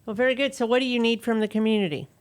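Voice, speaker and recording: A woman's voice: fairly high pitch, rapid-fire. A woman hosting a casual interview, encouraging her guest with short interjected reactions. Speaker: host.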